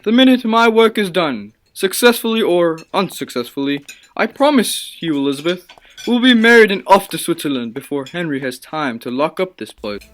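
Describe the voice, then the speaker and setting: A man's voice: high-pitched, animated, normal speed, a bit bright. An actor playing an obsessive, passionate young male scientist in a radio play, with earnest, theatrical and formal delivery. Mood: intense, eager. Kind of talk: dramatic radio play acting and narration.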